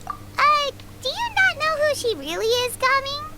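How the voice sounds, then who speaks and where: A woman voicing a tiny, excitable, chatty fairy-like girl companion in a video game, with a childlike way of talking. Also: extremely high pitch, normal speed, heavy background noise. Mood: urgent. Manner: childlike, refers to self in third person. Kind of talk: video game character voice acting. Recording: game music behind the voice.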